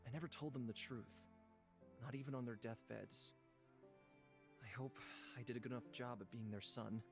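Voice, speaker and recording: An actor playing a gentle ancient god living in a human body, speaking in a measured, reflective way for a visual novel. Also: male voice, medium pitch, fairly even intonation, gravelly, natural voice. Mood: tender, grateful. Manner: measured, solemn, reflective storytelling. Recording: music behind the voice. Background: instrumental music.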